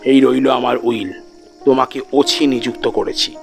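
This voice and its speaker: A man performs a dying man in an audio story, stubborn to the last. His voice sinks low and breathy, drained of strength, yet he gives curt, matter-of-fact orders. Music plays clearly behind him. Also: fairly even intonation, measured pace. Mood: weak, stubborn. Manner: blunt, curt last instructions. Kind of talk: audio story character acting.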